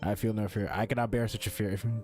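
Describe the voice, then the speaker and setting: A man's voice: lowish voice, fairly even intonation, quick pace, a little mellow. A young man giving casual playthrough commentary as a gaming streamer, blunt and slangy, swearing and repeating his phrases. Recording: game music faintly behind voice.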